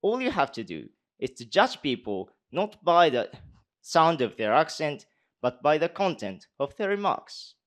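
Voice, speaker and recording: A man's voice: on the high side, sing-song, a little slow. Japanese man speaking British English. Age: 20s. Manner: hesitant with many fillers when answering. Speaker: university speech contestant. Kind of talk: formal speech, then unscripted Q&A answers. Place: conference hall.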